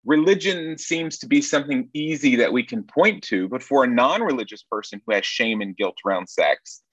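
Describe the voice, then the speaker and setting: A man's voice: medium pitch, lively intonation, normal speed, fairly bright tone, husky, no room sound, dull audio. A man from Nashville, Tennessee, speaking as a sex therapist guest in an earnest, wry, reflective way. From Nashville, Tennessee, USA. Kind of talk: conversational interview answers.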